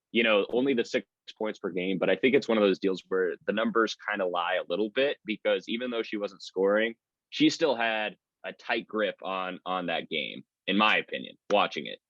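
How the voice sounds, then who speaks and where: A low-voiced man talks sports in a conversational, discursive way, like an announcer being interviewed. The sound is a bit dull and lo-fi. He goes on at length, rambling from point to point. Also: a bit bright, dry recording. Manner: talkative, discursive.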